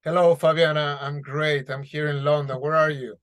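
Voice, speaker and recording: A man's voice: a little high, level tone, moderate pace, a bit bright, clean tone. Man hosting a podcast. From Mexico. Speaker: podcast host. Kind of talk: podcast interview.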